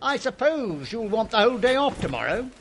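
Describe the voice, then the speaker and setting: A man's voice: very high-pitched, big pitch swings, brisk, fairly bright tone, a little hiss or hum, exaggerated voice. An actor playing a cold, curt, scornful old businessman and miser in a radio drama. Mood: scornful grumbling. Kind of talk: theatrical radio drama acting.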